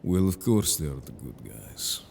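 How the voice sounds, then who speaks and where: Man acting a merfolk, bottom-deep male voice, velvety dark tone, composed, reassuring, formal wording, audio roleplay. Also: sing-song, gravelly, a little hiss or hum, heavily acted. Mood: assured, explanatory. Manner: measured, formal phrasing. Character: calm, protective, confident merfolk man, reassuring and worldly.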